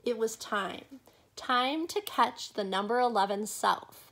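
Slow, higher-voiced woman reading a storybook. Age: middle-aged. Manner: expressive, dramatic pauses and drawn-out words. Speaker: storyteller reading a children's picture book to viewers. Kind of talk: read-aloud storytime with casual asides to young listeners.

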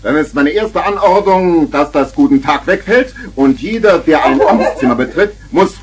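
Boisterous old uncle, swooping pitch. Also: male voice, expressive, normal speed, husky. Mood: boisterous, teasing. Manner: theatrical, jovial, playful. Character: boisterous, tippling old uncle full of tall tales. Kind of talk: 1970s German children's radio play acting.

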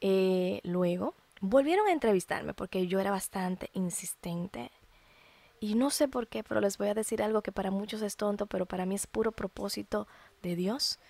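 A Dominican woman in her early thirties hosting a podcast, telling a personal testimony in a heartfelt, expressive way with colloquial asides. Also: mid-range voice, moderate pace, creaky, no echo. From Dominican Republic. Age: early 30s. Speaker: podcast host.

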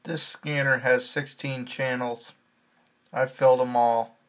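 A man giving casual, slow and halting commentary as he demonstrates a piece of equipment indoors. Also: medium pitch, flat delivery, a bit bright. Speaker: scanner owner narrating a demonstration. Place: indoors beside a radio scanner.

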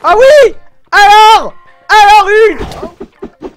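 A young man giving excited commentary over his own gameplay, exclaiming often and talking straight to his viewers, recorded on a desk mic with headphones on. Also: extremely high pitch, drawn-out words, soft background music. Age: young adult. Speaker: gaming video creator.

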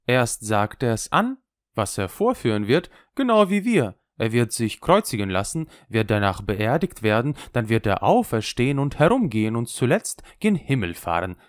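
A sly old conjurer with an Italian accent, worldly and cynical, mocking everything he has seen, as a man puts him on. His voice leaps and dips with lively expression. The sound is dry and close. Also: mid-range voice, dry recording.

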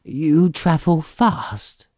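A man's voice: a little high, expressive, drawn-out words, darkish tone, dry recording, clean studio sound, voice pushed up. A man voicing a shaken, businesslike miser speaking deferentially and pleadingly to a ghost in an audiobook. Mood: faltering.

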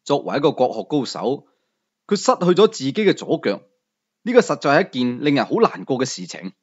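A man's voice: sing-song, brisk, highs cut off. A man narrating a novel in the first person as a storyteller, in an audiobook reading. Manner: first-person storytelling, rising and falling pitch.

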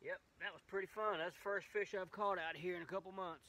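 Bright-voiced middle-aged man outdoors. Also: sits high, fairly even intonation, quick pace, slight background noise, poor audio. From West Virginia. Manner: easygoing, chatty running commentary. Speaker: fishing channel host. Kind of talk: casual outdoor fishing vlog. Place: outdoors by a small wooded creek. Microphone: phone camera, sometimes set far off.

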